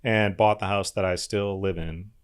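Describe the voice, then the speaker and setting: Low-voiced Midwestern man telling stories. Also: moderate pace, clear, resonant tone. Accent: Midwestern American. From Wisconsin. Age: 30s. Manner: relaxed storyteller, many filler "like"s. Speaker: podcast guest. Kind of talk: casual chat.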